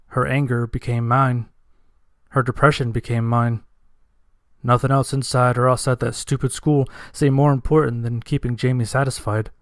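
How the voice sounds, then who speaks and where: A man's voice: medium pitch, no room sound, clean studio sound. A man narrating a first-person dramatic story in a somber, earnest manner.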